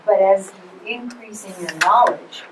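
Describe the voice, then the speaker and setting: A woman's voice: medium pitch, a little slow, heavy background noise. A woman speaking thoughtfully at length in a forum discussion, weighing her points as she goes. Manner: thoughtful, rambling. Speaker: forum participant. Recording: echoey room from far off.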